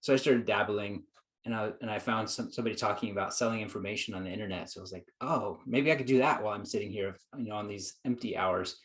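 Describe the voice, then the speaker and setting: A man's voice: fairly low pitch, fast talker, a bit bright. A man, a psychologist guest, telling his story in an earnest, reflective way, acting out his own past thoughts. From United States. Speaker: guest psychologist and productivity coach. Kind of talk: conversational storytelling.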